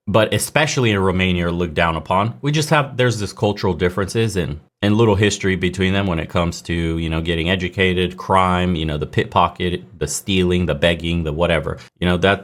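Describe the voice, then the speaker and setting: A man giving blunt, rambling commentary, talking straight to the viewer and calling them dude and man. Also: low-pitched. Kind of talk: casual reaction commentary.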